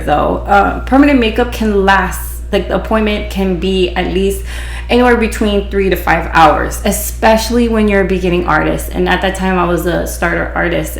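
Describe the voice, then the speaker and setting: A woman's voice: on the low side, creaky, echoey room. A woman from Hawaii with a Pidgin-influenced accent, hosting a podcast and telling a story in a chatty, rambling way full of restarts and "you know". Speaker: podcast host, beautician. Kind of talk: casual storytelling.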